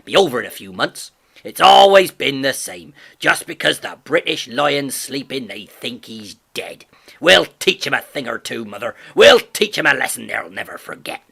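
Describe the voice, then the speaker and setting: A blustering, boastful farmer father, as a male reader acts him, calling out loud and high with lively swings. The voice is bright and husky, brash and excited. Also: moderate pace, heavily acted. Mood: triumphant. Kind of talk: audiobook character voice.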